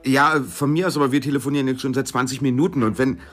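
An actor playing a blunt, impatient customer in a radio drama, speaking curtly and colloquially in exasperation. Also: male voice, mid-range voice, quick pace, lightly acted. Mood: exasperated. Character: exasperated, blunt male customer trying to cancel. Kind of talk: naturalistic radio drama acting.

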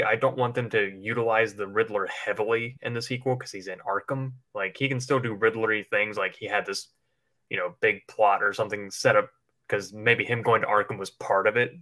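A man's voice: lowish voice, sharp tone. A man co-hosting a livestream, chatting casually in a rambling, informal way and swearing now and then. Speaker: livestream co-host. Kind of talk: casual chat speculating about films.

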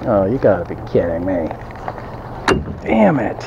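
A man's voice: deep voice, very animated, creaky. A man fishing from a kayak on an outdoor lake, casually commenting to himself and a friend, picked up by an action camera. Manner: talks to himself and his buddy while fishing, quick exclamations. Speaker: fishing vlogger. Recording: dull action-cam audio with water and wind noise.

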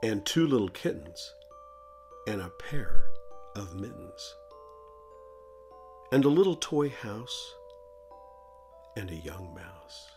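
A man's voice: fairly low pitch, big pitch swings, takes it slowly, vocal fry, pauses often, a little mellow, soft background music. A middle-aged man who hosts a children's show, presenting and reading stories aloud for kids.

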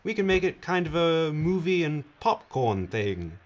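An actor playing a smarmy, self-satisfied corporate boss with a glib, patronizing manner in an audio drama. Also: male voice, fairly high pitch, big pitch swings, unhurried. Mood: smarmy, self-pleased. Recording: dull, noisy audio.

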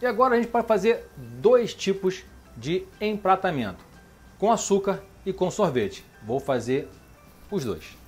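A middle-aged man talks viewers through a recipe in his home kitchen, chatty and easygoing. His voice sits a little high for a man. Soft music plays underneath him. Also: sing-song, measured pace, husky. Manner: chatty, keeps asking "beleza?" and "tá?". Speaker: cooking show host. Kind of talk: casual home cooking demonstration.

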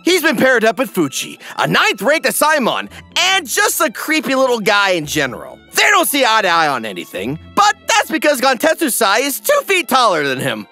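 Guy narrating a comedy recap, bright punchy high voice, playful and over the top. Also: huge pitch swings, normal speed, hardly any pauses, faint music behind. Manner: wry, playful, exaggerated.

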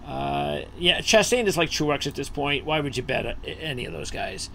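Gravelly host talking through a list. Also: medium pitch, expressive, moderate pace, bright, forceful tone, a little hiss or hum. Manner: thinks aloud, with frequent fillers while scanning a list. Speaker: host of a racing betting preview. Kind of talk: casual chat over a video call. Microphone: video call.

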